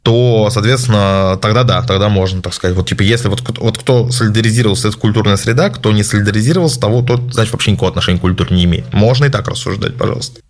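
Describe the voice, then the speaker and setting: A man hosting a casual question-and-answer session, giving his opinions in a measured way with many hesitant filler sounds. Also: lowish voice. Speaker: host answering viewer questions. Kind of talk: casual spoken Q&A.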